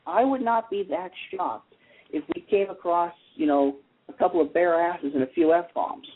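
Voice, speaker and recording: Husky guy on a tinny phone line, wry and opinionated, chatting like a fan on a radio show. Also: sits high, very animated, normal speed. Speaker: talk show panelist. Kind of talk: casual soap opera fan chat.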